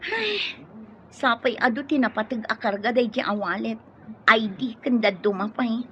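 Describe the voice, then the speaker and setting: A woman's voice: a little high, animated, normal speed, a bit bright, slight background noise. A coy, scheming young Ilocano woman. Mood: distressed, pleading. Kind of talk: emotional radio drama acting. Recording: dull lo-fi radio sound, music behind.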